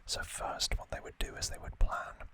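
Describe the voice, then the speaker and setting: A man hosting an ASMR video, whispering throughout as he explains things off the cuff, with thoughtful, hesitant pauses. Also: sharp tone. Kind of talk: whispered ASMR, unscripted explanatory unboxing talk.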